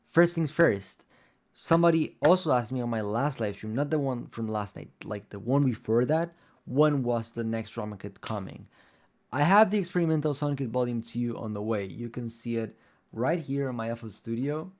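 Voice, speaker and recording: A young man whose first language is Spanish gives a casual music-production walkthrough. He wanders off track and fills gaps with "like" and "um", at a middling pitch for a man. His delivery is loose and chatty. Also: expressive, normal speed, a little mellow. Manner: rambling, loose, many fillers like "like" and "um". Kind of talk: casual tutorial talk.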